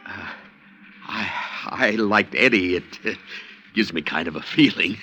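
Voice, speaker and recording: An actor playing an eager, nervous amateur sidekick in a 1950s radio drama, flustered, hesitant and earnest. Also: male voice, low-pitched, a little slow, pushed and bright, faint music behind. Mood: impatient, hesitant. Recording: faintly noisy vintage radio recording.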